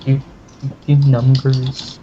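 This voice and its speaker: A man's voice: medium pitch, monotone, extremely slow, mellow, lo-fi sound, a little hiss or hum. A man acting as game master in casual tabletop role-play chat, blunt and given to swearing. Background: background music.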